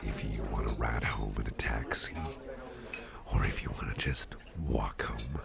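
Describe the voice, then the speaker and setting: A man playing a friendly, rambling boss in an ASMR roleplay, chatting personally to the listener in a deep, gravelly voice. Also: level tone, exaggerated voice. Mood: warm, flirty. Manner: rambling, chummy, halting, repeats phrases. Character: friendly, rambling male boss at a Halloween party. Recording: music playing behind the voice.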